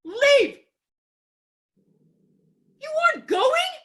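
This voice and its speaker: An actress plays an indignant widow in a theatrical comedy. Her voice is extremely high and swings widely in pitch. She draws her words out slowly, melodramatic and imperious. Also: big pitch swings, fairly bright tone, full character voice. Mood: haughty. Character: indignant, fiery, haughty widow quarrelling theatrically. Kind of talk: theatrical staged reading of a classic farce. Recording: home recording over video call.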